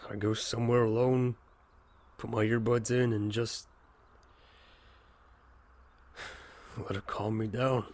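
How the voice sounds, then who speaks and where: A man acting a grieving young man in an audio drama, speaking tenderly and haltingly about his sister. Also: lowish voice, little pitch movement, a little mellow, natural voice. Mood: wistful.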